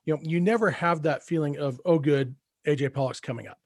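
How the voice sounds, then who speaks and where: A man co-hosting a casual sports podcast, giving measured, thoughtful analysis with hesitant restarts. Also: fairly high pitch, a little mellow, no echo, clean studio sound.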